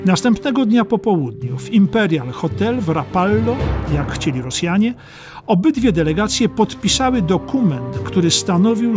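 A man giving scripted narration of a historical documentary audiobook. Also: high voice. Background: music.

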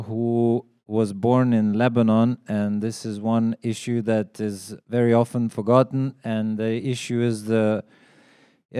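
Mellow-voiced man introducing a speaker. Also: mid-range voice, smooth, no room sound, studio quality. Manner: halting, with frequent uhs. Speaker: event host. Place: small event hall. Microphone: handheld microphone.

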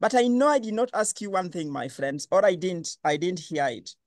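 A man hosting an online panel discussion, lively and questioning. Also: sits high, normal speed, no room sound, studio quality. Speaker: webinar host.

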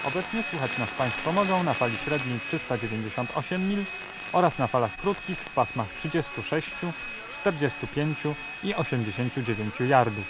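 Man acting male radio announcer, serious, measured bulletin delivery, middle pitch, very noisy shortwave broadcast. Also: lively intonation, natural voice. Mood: solemn, formal. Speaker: radio news presenter.

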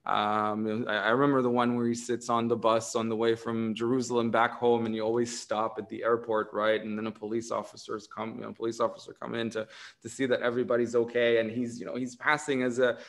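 A man's voice: medium pitch, flat delivery, brisk, fairly bright tone. A man in his thirties from Israel with an Israeli accent, talking in an academic conversation on a video call from home, thoughtful, with many fillers, restarts and tag questions. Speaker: author in conversation. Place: home room indoors.

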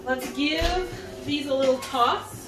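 Young woman doing a chill cooking demo, talks slow, echoey kitchen, kinda far from the camera. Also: high voice. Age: young adult. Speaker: home cook hosting a cooking video. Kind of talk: casual cooking demonstration. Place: home kitchen, indoors. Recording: roomy echo, distant mic, background music. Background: music.

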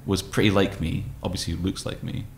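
Male interview guest, thirties, very low voice with vocal fry, tentative and reflective. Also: level tone. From Scottish Highlands. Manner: hesitant, thoughtful, halting. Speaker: guest, poet and musician. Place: room with tall windows, indoors. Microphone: microphone on desk stand.

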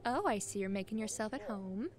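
Actress as young woman, medium pitch, caring and teasing best friend, casual intimate audio roleplay. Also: expressive, brisk, natural voice. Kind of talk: intimate ASMR-style audio roleplay, casual one-sided chat.

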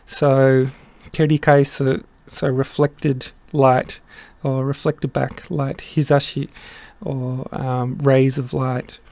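A man's voice: medium pitch, little pitch movement, gravelly, darkish tone, no echo. Thoughtful man explaining a translation. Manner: thoughtful, halting, many restarts and fillers. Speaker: song translator explaining lyrics. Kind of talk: casual explanatory lesson. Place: small room indoors.

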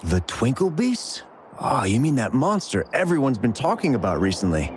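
A man's voice: mid-range voice, very animated, normal speed, a little hiss or hum, lightly acted. A man playing a chatty, shifty delivery courier in a video game, with a theatrical and evasive manner. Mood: dramatic. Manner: theatrical, evasive, stammering when pressed. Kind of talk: video game character dialogue.

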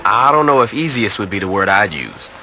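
A man's voice: on the low side, big pitch swings, fairly quick, background noise. An actor playing a brash, impatient male gang boss in a video game, blunt, sardonic and foul-mouthed. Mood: wry. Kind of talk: video game cutscene acting.

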